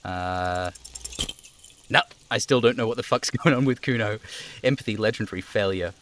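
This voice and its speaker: A man's voice: fairly low pitch, moderate pace, fairly bright tone, a little hiss or hum. A man live-streaming a video game, switching between reading out dialogue and casual commentary.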